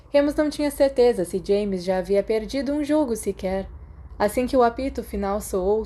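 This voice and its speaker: A Brazilian woman narrates fiction from a script. Her voice is soft-edged and mellow, sitting at an ordinary pitch for a woman. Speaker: podfic narrator.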